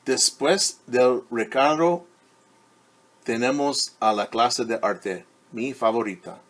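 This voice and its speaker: A man reads a children's picture book as a teacher would, at a slow, patient pace. His pitch sits in the middle range. He explains and wonders aloud for young listeners. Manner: stumbles over and corrects words when reading Spanish. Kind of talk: bilingual story time with commentary.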